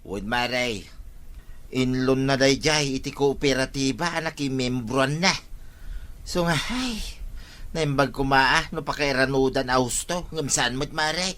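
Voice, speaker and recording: A man's voice: mid-range voice, unhurried, fairly bright tone, lightly acted. A man playing an agreeable village neighbour in a radio comedy drama, conversational with exclamations. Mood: explaining.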